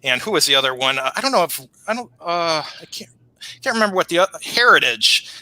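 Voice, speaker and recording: A middle-aged man with a Chicago accent chatting casually as an interview guest, rattling along in a rapid-fire, chatty way. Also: a little high, sing-song, pushed and bright, no room sound, low-quality recording. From Chicago, United States. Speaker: guest, war game reviewer.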